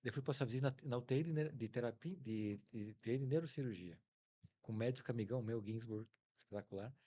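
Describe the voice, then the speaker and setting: A middle-aged Brazilian man speaks in a medium-pitched voice with a Brazilian Portuguese accent. He talks like a doctor being interviewed, casual and anecdotal, voicing remembered exchanges as he goes. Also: fast talker, mellow.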